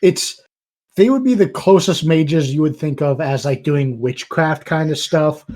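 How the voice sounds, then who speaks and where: Man, slightly high voice, casual chat, rambling, lots of ums and likes. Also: unhurried, darkish tone. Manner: rambling explanations full of "um" and "like".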